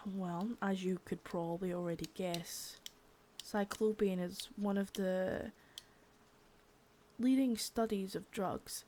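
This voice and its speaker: A young woman doing an ASMR roleplay as a cold, contemptuous female boss of a drug ring, menacing and swearing, soft-spoken with bits of whisper. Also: medium pitch, fairly even intonation, takes it slowly, long pauses, slight background noise, close to an everyday voice. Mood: cold contempt. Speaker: ASMR creator.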